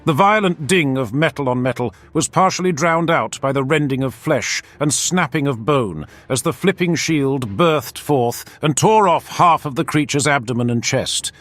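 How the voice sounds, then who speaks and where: A man's voice: mid-range voice, normal speed, hardly any pauses, fairly bright tone. A man reading scripted battle fiction as a dramatic narrator, with theatrical, emphatic storytelling. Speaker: audiobook-style narrator. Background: background music.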